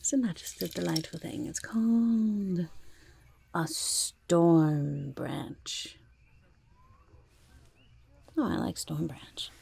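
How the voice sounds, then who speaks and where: A woman voicing a scheming, chatty goblin market trader in a breathy, raspy whisper, as an ASMR roleplay with sly, coaxing sales patter. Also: very slow, pauses often, warm tone, heavily acted. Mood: playful, scheming. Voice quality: breathy, raspy whisper with creaky low murmurs.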